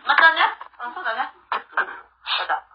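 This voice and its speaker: A woman's voice: sits high, lively intonation, moderate pace, a crowd in the background. A woman chatting casually among fellow amateur radio drama performers. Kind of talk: casual chat. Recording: roomy, dull lo-fi sound.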